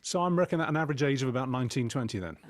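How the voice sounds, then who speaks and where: A man's voice: mid-range voice, animated, rapid-fire. A man hosting a chatty live radio interview in a jokey, rambling, conversational way. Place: radio studio. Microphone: close studio microphone.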